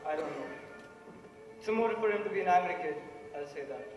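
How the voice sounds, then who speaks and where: Stage play: man as reasoned dissenting juror, brisk Indian-accented voice, echoey auditorium. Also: high-pitched, faint music behind, lightly acted. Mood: calm, questioning. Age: young adult. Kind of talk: amateur stage play acting.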